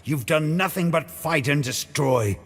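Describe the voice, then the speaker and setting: An actor playing a stern, resolute warrior hero in a video game, terse, grim and determined. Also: male voice, fairly high pitch, a little slow, fairly bright tone. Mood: defiant. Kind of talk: dramatic video game acting.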